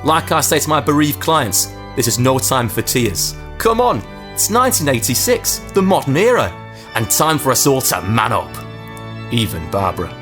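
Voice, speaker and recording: An actor playing a vain, self-satisfied 1980s funeral director delivering a pompous, joking monologue as a comic radio advert. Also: male voice, mid-range voice, very animated, moderate pace, a bit bright, faint music behind. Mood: smug, jokey.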